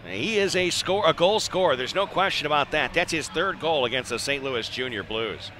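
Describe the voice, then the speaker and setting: A man calling live ice hockey play-by-play in clipped phrases, excitable when the action heats up. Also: on the high side, moderate pace. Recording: live arena broadcast with background noise.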